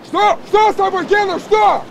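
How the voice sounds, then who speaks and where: An actor playing a brave, earnest young submarine lieutenant who narrates and speaks in a radio drama. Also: male voice, very high-pitched, fairly even intonation, moderate pace, gravelly, background noise. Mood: urgent, shouting.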